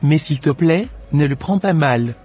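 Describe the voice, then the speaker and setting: A man playing a frank, motherly aunt in an amateur cartoon, husky and measured as he admonishes over music. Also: a little high, animated, a little mellow. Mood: earnest admonishing. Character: motherly aunt, frank moral adviser, female shopkeeper. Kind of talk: amateur animated drama acting. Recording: background music.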